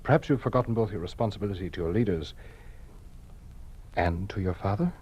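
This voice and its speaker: A man's voice: low voice, expressive, brisk, darkish tone, slightly rough, highs cut off. An actor playing a condescending, formal male official of a totalitarian regime in a 1950s radio drama.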